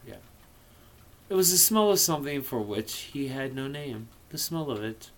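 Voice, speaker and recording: A man's voice: mid-range voice, lively intonation, normal speed. A man casually reading a novel aloud, theatrical and playful, dropping in irreverent asides of his own. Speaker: amateur reader of a novel.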